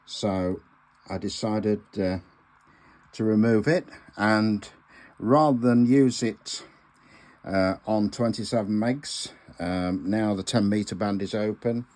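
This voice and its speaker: Slow, low-voiced older man. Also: a little mellow. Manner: halting, deliberate, with frequent pauses and hesitations. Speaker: amateur radio hobbyist presenting a video. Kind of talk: informal hands-on demonstration talk.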